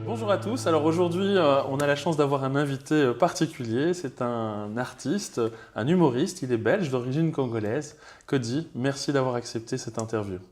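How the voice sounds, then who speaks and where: A man's voice: medium pitch, moderate pace, a little mellow, soft background music. A man hosting an interview, asking questions and answering with short friendly acknowledgments. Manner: friendly, brief prompting acknowledgments. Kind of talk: casual interview.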